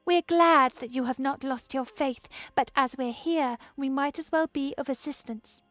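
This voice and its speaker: High-voiced, devoted woman warrior. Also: normal speed, a bit bright, dry recording, faint music behind. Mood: earnest, respectful. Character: devoted young female royal bodyguard, earnest and passionate. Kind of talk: dramatic video game voice acting.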